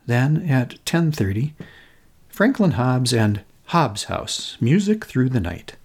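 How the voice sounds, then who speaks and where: Middle aged american guy reading his memoir piece, medium pitch, measured storyteller delivery, careful phrasing. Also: very animated, a little mellow, husky. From Minnesota, United States. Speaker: podcast host. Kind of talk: scripted narration, personal memoir essay.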